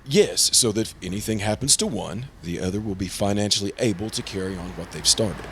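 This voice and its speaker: A man's voice: lowish voice, brisk, a bit bright, a little hiss or hum. A man playing a prim, earnest, easily flustered insurance broker in old-time radio drama style. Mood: worried, explanatory. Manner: prim, formal, exasperated. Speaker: supporting actor in a radio play.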